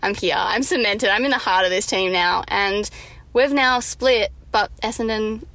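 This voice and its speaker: A woman from New South Wales, a podcast guest chatting casually, animated and quick to laugh. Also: mid-range voice, no echo. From New South Wales, Australia. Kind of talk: casual chat.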